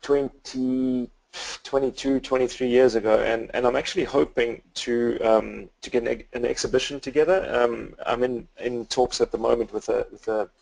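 A man's voice: mid-range voice, steady tone, normal speed, vocal fry, darkish tone, rough recording. A middle-aged South African man with a South African accent, speaking as a guest photographer on a webinar from his office, hesitant with many fillers and restarts. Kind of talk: webinar presentation. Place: home office.

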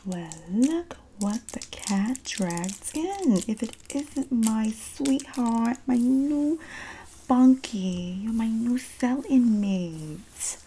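A young woman acting a sassy, streetwise female inmate who comforts her bunkmate in a breathy whispered ASMR roleplay. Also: a little high, lively intonation, warm tone, poor audio. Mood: teasing. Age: young adult. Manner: sassy, streetwise slang, drawn-out terms of endearment. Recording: close mic with clear background noise.

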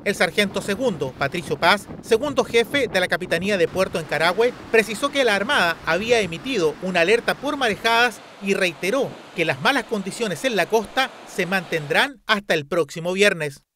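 Male news reporter; even, measured scripted read, high pitch. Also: a little hiss or hum.

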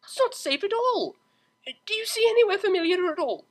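A prim young mare cellist with a high, lively voice that leaps around in pitch, put on by a woman reading a storybook. She speaks in formal, precise phrasing, proper and a little fussy. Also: brisk. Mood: alarmed.

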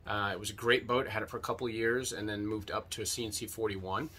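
A man's voice: on the low side, fast talker, slight background noise. Easygoing middle-aged man touring a boat. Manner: relaxed, enthusiastic, filler-heavy. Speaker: boat tour host. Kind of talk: casual walkthrough tour. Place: small boat cabin, indoors. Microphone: handheld camera mic at arm's length.

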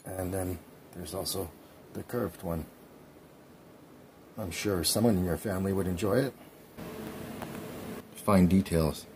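A man's voice: low-pitched, measured pace, long pauses, a little mellow, a little hiss or hum, rough recording. A man in his forties casually narrating his bike build over clips, chatty with frequent ums. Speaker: cycling vlogger.

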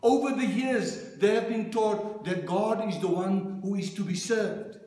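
Man, church minister, measured preaching, higher voice, very echoey sanctuary. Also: a little mellow. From South Africa. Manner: slow, measured reading cadence. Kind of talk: sermon with scripture reading. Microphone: lectern microphone, far camera.

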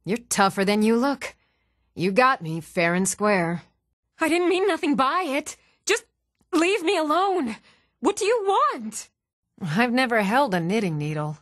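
An actress playing an even-toned, earnest young townswoman in a fantasy video game, with measured delivery. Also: fairly high pitch, dry recording, clean studio sound. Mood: taunting, rowdy, then subdued. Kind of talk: video game character voice lines.

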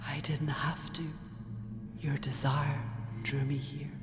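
An actress playing a seductive, sly, softly menacing female forest spirit in an audio drama, with a breathy whisper. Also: low voice, flat delivery, takes it slowly. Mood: seductive, taunting. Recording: long echoing hall reverb. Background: music.

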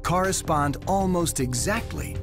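A man reading scripted narration for a nature documentary in a measured, storytelling delivery. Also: sits high. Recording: narration over loud music score.

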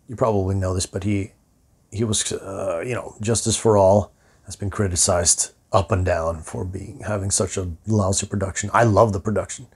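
A middle-aged man with a hoarse voice, rambling and swearing through a casual rant about his music to the camera. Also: fairly low pitch, a little mellow. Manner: rambling, profane, self-interrupting. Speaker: metal musician and vlogger.

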